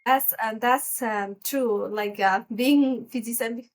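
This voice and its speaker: A woman's voice: fairly high pitch, slow pace, heavy background noise. A middle-aged woman from Nepal with a Nepali accent, a physician talking casually as an interview guest.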